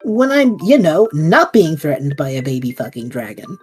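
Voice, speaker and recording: A woman's voice: sits low, normal speed, breathy edge, soft background music, full character voice. A woman playing a reckless young male adventurer. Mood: excited. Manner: excitable, joking, reckless. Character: eager scatterbrained young male adventurer hero. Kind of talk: fan audio drama.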